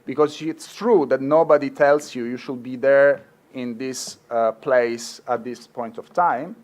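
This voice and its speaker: A man's voice: medium pitch, steady tone, unhurried. A middle-aged man with a marked Italian accent, a law professor speaking earnestly at a conference, with frequent fillers and restarts. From Italy. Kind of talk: conference talk.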